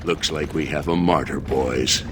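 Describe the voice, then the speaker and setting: An actor playing a menacing thug who guards a criminal hideout in a video game. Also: male voice, extremely low pitch, fairly even intonation, a little slow, very noisy. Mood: mocking menace.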